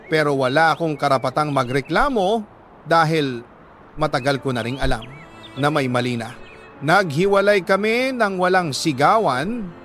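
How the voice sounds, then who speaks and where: A man with a Filipino accent reading a dramatic love story as a radio storyteller, solemn and heartfelt, pausing between short phrases. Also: fairly high pitch, a bit bright.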